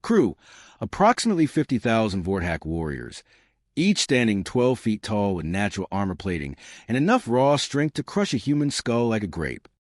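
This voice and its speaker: A man's voice: on the low side, sing-song, normal speed, no room sound, studio quality. A man voicing a small, fierce woman starship captain in a sci-fi story, confident and sarcastic. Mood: commanding.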